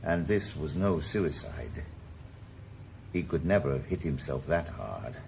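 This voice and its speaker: A man's voice: a bass voice, very animated, unhurried, creaky, darkish tone, slight background noise, exaggerated voice. An actor playing a weary retired policeman turned private eye in a radio drama, dry and laconic. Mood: probing. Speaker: private investigator. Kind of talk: 1980s radio drama acting.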